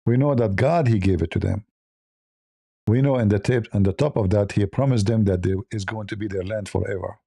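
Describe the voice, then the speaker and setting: A man's voice: fairly low pitch, fairly quick, creaky, mellow, dry recording, clean studio sound. A man who speaks English as a second language, giving combative, informal religious commentary full of repeated rhetorical questions. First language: not English.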